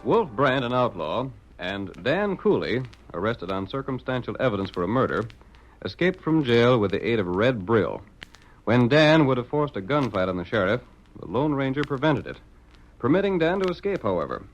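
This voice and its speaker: A man's voice: medium pitch, moderate pace. A male announcer giving dramatic scripted narration on a 1930s radio show, in a dull old radio recording. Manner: dramatic, lively announcer delivery.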